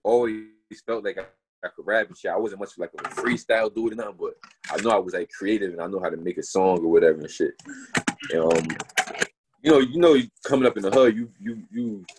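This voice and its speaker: A man, a rapper from Newburgh, New York, talking casually in an interview with a naturally deep voice, full of slang and "you know what I mean" fillers. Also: a little fast, noisy background. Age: adult, not young. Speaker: rapper guest.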